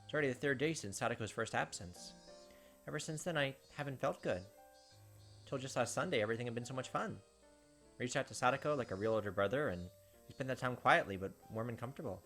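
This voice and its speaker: A man's voice: medium pitch, fast, a bit bright, faint music behind. A man hosting a let's play, chatting casually and reading the game's story text aloud.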